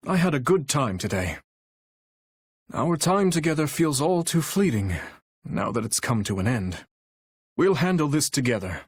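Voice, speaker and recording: A man voicing an earnest, courteous young warrior king with a heavy burden for a video game, speaking in formal, measured, courtly diction with a husky voice. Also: mid-range voice, no room sound, clean studio sound. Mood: gentle, thoughtful, then resolute. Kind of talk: video game character voice lines.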